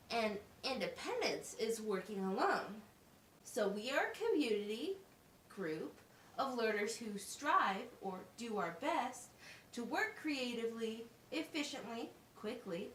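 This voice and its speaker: High, lively woman teaching in a roomy space. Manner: instructive, simple wording with deliberate enunciation. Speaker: puppeteer playing a teacher. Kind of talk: slow, scripted classroom puppet show. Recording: roomy, distant mic with faint noise.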